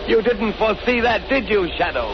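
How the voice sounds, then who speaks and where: An actor playing an arrogant, mad submarine pirate captain in theatrical, boastful 1930s radio drama style. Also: male voice, high-pitched, expressive, brisk. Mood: commanding, triumphant. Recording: noisy, muffled 1930s radio recording.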